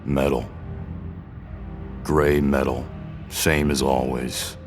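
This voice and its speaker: An actor playing a defiant, disoriented prisoner in an audio drama, a very deep-voiced man who is guarded, halting and stubborn. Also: level tone, over music, heavily acted. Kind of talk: scripted audio drama dialogue.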